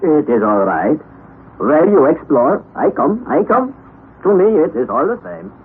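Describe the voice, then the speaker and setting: An actor playing a calm, burly Icelandic hunter and guide in an old-time radio drama, speaking in terse, deliberate, simple phrases. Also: male voice, on the high side. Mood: resigned loyalty. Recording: noisy, tinny vintage radio recording.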